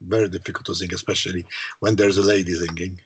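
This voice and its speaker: A man from Malta with a low voice, talking about his songwriting in a casual interview, often hesitating and repeating words. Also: flat delivery, fast talker, a little hiss or hum. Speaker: songwriter guest.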